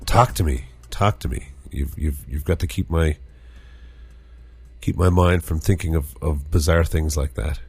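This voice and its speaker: Low-voiced guy hosting a podcast, rambly and jokey, music going underneath. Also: unhurried, darkish tone, breathy edge, dry recording. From Newfoundland. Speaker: podcast host. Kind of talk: casual radio podcast talk.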